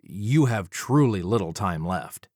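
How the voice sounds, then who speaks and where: A man voicing a resolute demigod hunter of murderers in an audiobook, measured and accusing, with a dry, deadpan edge. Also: lowish voice, dry recording. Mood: cold, droll.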